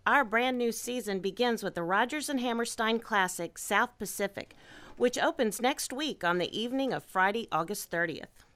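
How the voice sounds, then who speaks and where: A woman reading a theater advertisement as announcer, crisp and upbeat. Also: fairly high pitch, moderate pace, a bit bright.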